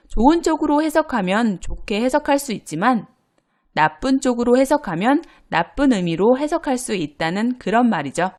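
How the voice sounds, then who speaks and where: Female teacher, grammar lesson, lively pitch, slightly high voice, clear and patient delivery. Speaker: Korean language teacher.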